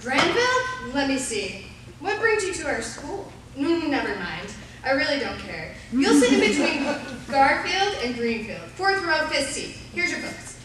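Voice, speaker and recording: A woman's voice: high-pitched, sing-song, measured pace, fairly bright tone, full character voice. An actress playing a haughty, impatient woman schoolteacher in a live radio play, dismissive and scolding. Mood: bored, dismissive. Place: live on a theater stage. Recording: very echoey live hall, distant, faint noise.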